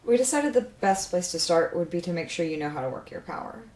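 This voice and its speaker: A woman's voice: fairly low pitch, lively intonation, quick pace, darkish tone, lightly acted. A woman playing a blunt, inquisitive, dryly skeptical character in a naturalistic audio drama. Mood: curious, skeptical. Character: blunt, inquisitive, coffee-craving scientific-minded woman.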